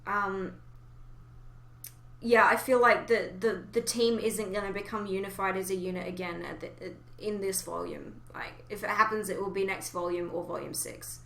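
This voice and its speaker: A woman talks casually in a bright, forward voice, offering speculative opinions with emphasis. The recording is roomy and echoey, like a podcast made in a reverberant room. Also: mid-range voice, fairly even intonation, normal speed, vocal fry. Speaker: podcast co-host. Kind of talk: casual fan discussion.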